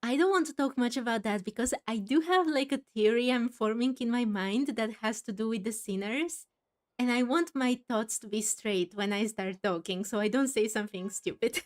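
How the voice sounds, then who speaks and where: A woman's voice: fairly high pitch, expressive, normal speed. A woman hosting a casual game playthrough, chatting and reacting expressively as she goes. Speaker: gaming video host.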